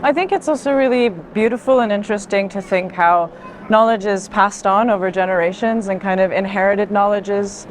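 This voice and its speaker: A woman's voice: mid-range voice, steady tone, brisk. A woman giving reflective, explanatory art commentary in conversation, recorded in a busy fair hall. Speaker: art institution director on a fair tour. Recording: clear background noise of a busy venue.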